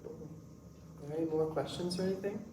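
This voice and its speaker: A man's voice: a little high, quick pace. A man speaking as a playwright and director at an audience Q&A, explaining things earnestly. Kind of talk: audience Q&A after a staged reading. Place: roomy indoor performance space. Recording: echoey room, distant mic, background noise.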